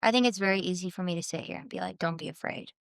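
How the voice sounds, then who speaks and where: A young woman from Southern California talks as a podcast guest, earnest and reflective, often pausing and restarting her thoughts. Her voice sits a little low and comes across smooth and resonant. The sound is dry and close to the mic. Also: a bit bright, no echo. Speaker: guest musician. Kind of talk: casual podcast interview.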